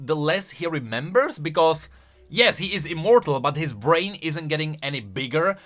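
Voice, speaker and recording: A man giving casual, emphatic commentary as a gaming YouTuber, excitable in his delivery. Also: a little high. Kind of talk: casual lore commentary, reacting to voice lines.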